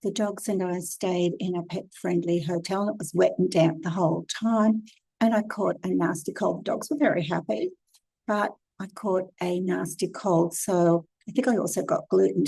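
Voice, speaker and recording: A hoarse woman talks through a casual tutorial in a chatty, direct way. Her voice is mellow and warm, and the recording is close and dry with no room sound. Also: lowish voice, fairly even intonation, moderate pace, no echo, low-quality recording. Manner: chatty, direct, practical advice. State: hoarse from a cold. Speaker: e-commerce consultant and channel host. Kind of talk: casual screen-share tutorial.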